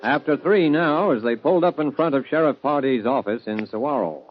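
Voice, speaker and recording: A man's voice: mid-range voice, fairly quick, slight background noise. A man narrating a scripted western story in the style of 1950s radio. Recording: dull vintage 1950 radio recording. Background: music.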